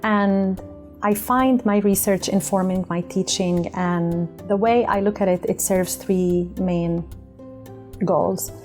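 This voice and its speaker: A middle-aged woman professor explaining her academic work in an earnest, measured way. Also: mid-range voice, fairly even intonation, darkish tone, faint music behind. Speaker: university professor and researcher.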